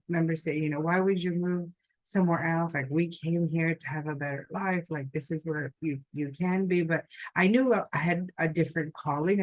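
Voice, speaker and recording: An upbeat woman in her thirties shares her story on a podcast. She talks in animated, quick bursts, and her voice sits close in a dry, dead-sounding space. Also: low-pitched, no echo, rough recording. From Philippines. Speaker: podcast guest. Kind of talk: casual storytelling conversation.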